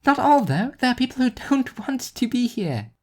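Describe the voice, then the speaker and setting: A woman's voice: fairly high pitch, big pitch swings, moderate pace, a little mellow, voice pushed up. A woman voicing an angry, rebellious teenage girl, blunt and exasperated, in a story reading. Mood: exasperated, defiant.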